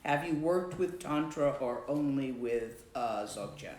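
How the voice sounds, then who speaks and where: A woman teaching meditation and answering a student's question in a slow, measured way. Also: a bass voice, lively intonation, darkish tone, reverberant, a little hiss or hum. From Latvian background. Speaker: Dzogchen teacher.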